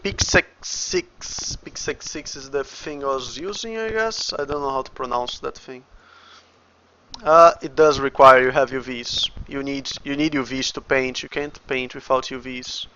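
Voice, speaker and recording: A man's voice: medium pitch, steady tone, unhurried, pushed and bright, smooth, dull audio. A Brazilian man with a Brazilian Portuguese accent, a game developer casually talking through his work on a livestream and muttering each step to himself. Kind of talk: casual livestream, thinking aloud while working.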